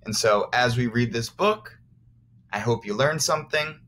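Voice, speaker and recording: A man's voice: medium pitch, normal speed, fairly bright tone, a little hiss or hum. A man teaching first graders, reading aloud expressively and encouragingly, with simple explanatory asides for young children.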